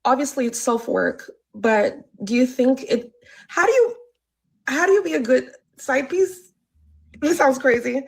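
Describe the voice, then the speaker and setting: A woman's voice: high-pitched, animated, measured pace, vocal fry. A woman in her thirties from the Bay Area, asking for relationship advice in a hesitant way, full of ums and likes. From San Francisco Bay Area. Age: 30s. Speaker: caller seeking advice.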